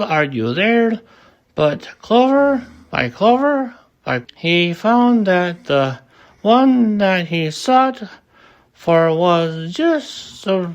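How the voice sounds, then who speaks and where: Very slow, animated storybook reader. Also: medium pitch, lo-fi sound. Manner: halting, stumbling over words. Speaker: amateur audiobook reader. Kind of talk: animated children's story reading.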